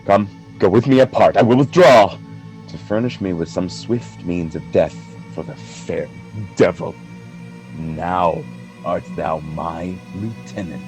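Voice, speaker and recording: An actor playing a proud, jealous general in a Shakespearean radio play, declaiming grand verse in a measured, volatile way. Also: male voice, low-pitched, darkish tone, faint music behind. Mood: grim resolve.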